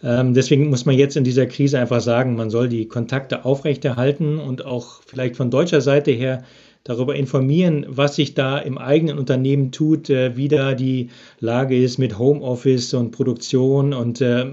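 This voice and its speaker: Male business expert for a podcast interview, halting delivery with many fillers, dark mellow tone, muffled lo-fi line. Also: mid-range voice, level tone. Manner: hesitant, frequent filler sounds.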